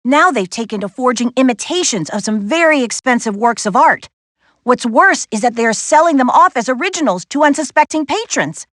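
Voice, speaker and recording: A woman voicing a confident, spirited young adventurer in a video game, briskly giving missions with an earnest, lightly wry manner. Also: sits high, animated, a bit bright, no room sound, studio quality. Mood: brisk mission briefing. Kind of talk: video game character voice acting.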